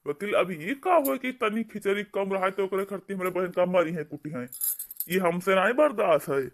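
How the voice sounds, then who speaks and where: Man voicing an indignant high-pitched Awadhi brother-in-law. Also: moderate pace. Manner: scolding, argumentative. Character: indignant, protective young brother-in-law of a village household. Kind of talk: amateur comedy cartoon dialogue.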